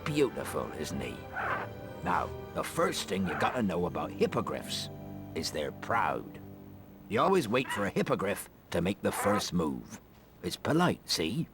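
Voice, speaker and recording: A man voicing a big, gruff, friendly male groundskeeper, speaking in a folksy, hearty way in a game cutscene. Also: sits low, wildly swooping pitch, unhurried, music in the background, exaggerated voice. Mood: encouraging. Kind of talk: video game cutscene acting.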